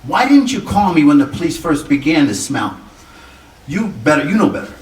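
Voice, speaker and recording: An actor playing an exasperated, gruff police lieutenant with dry humour, in 1940s radio drama style. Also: male voice, fairly high pitch, big pitch swings, moderate pace, far from the mic. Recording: echoey room, off-mic, faint noise.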